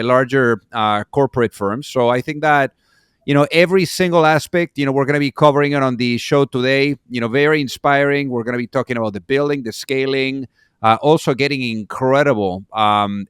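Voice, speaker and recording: A man's voice: mid-range voice, smooth, dry recording, studio quality. A man hosting a podcast, who talks in an upbeat way and fills his talk with "you know" and "uh". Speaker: podcast host. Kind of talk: podcast introduction.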